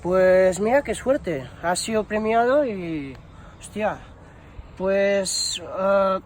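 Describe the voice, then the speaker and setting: A man's voice: high-pitched, expressive, extremely slow, a little hiss or hum, heavily acted. A man playing a bumbling shoe shop promotions employee on a prank call, hesitant and rambling, full of fillers and slang. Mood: chatty, hesitant. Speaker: prank caller. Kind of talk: improvised prank phone call.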